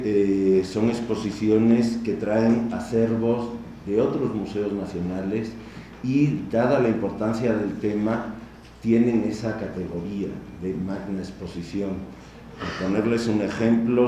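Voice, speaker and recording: A middle-aged man from Spain with a Spanish accent, giving a measured, explanatory conference lecture and speaking directly to his audience. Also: lowish voice, warm tone, slight background noise. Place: large conference hall. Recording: distant, echoey hall recording.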